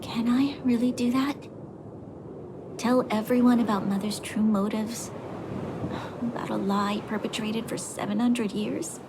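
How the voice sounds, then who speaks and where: A woman's voice: on the high side, fairly even intonation, a little slow, long pauses, background noise. A woman playing an earnest, resolute young leader in a video game cutscene, speaking formally and solemnly under a heavy burden. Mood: solemn, doubtful. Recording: orchestral music behind the voice. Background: music.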